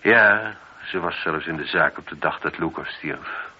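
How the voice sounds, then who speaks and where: An actor playing a stern, probing police inspector in a radio play, speaking with insistent authority. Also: male voice, a bass voice, measured pace, sharp tone, breathy edge, heavily acted. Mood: pressing, accusing. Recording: dull, noisy old radio recording.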